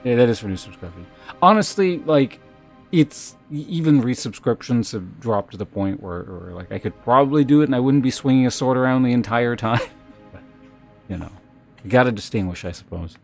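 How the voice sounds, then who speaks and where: A man hosting a livestream, chatting casually and reading aloud in a halting, measured way. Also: medium pitch, lively intonation, gravelly, darkish tone. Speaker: live streamer host. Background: music.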